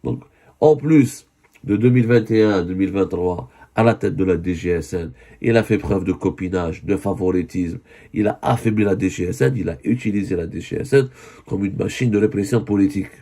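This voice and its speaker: A man with a voice hoarse from a cold, giving an earnest spoken news commentary and often correcting himself. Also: low voice, a little fast, dark tone. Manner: earnest, with many self-corrections.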